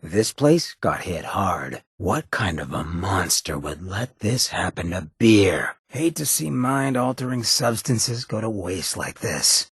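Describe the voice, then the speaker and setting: A male actor playing a roguish, swaggering ghoul leader in a video game, with a gravelly rasp, an American accent and a cocky, streetwise drawl. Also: on the low side, no room sound, studio quality, full character voice. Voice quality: raspy, gravelly. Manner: cocky, streetwise drawl with clipped slangy phrasing. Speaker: companion character in a video game. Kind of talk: video game character voice lines.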